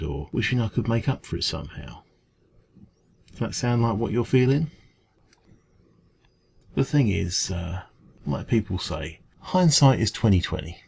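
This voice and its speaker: A man with an English accent playing a kindly, gentle café dragon in an ASMR comfort roleplay, speaking in a hesitant, informal, reassuring way. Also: fairly low pitch, gravelly, slight background noise.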